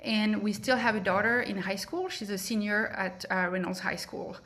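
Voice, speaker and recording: Woman, middle-aged, French accent, friendly, steady, video call. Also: medium pitch, normal speed, rough recording. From France. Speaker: school board candidate. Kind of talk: forum candidate statement.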